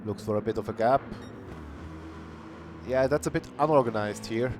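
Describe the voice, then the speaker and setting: A man commentating an online sim racing qualifying session in a plain, unhurried way. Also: medium pitch, music in the background. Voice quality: calls himself monotonous. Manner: unhurried, plain-spoken, bursts of cheering. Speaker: race commentator. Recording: noisy stream audio.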